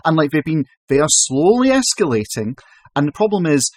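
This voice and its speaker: A man's voice: fairly high pitch, big pitch swings, fairly quick, no echo, studio quality. Exasperated, sweary male podcast host. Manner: exasperated and sweary, with many restarts. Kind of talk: casual podcast chat.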